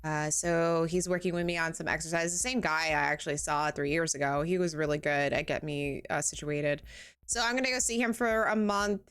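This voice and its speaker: A woman's voice: low-pitched, steady tone, brisk, a bit bright, smooth. A woman streaming a game, chatting casually with her viewers in a bubbly, giggly, self-deprecating way, sounding tired.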